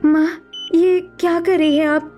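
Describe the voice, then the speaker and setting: A woman's voice: high voice, little pitch movement, unhurried, dark tone, faint music behind. A woman voicing a devoted, earnest young daughter with a pleading manner in a Hindi cartoon melodrama. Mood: tender, forgiving. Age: young adult.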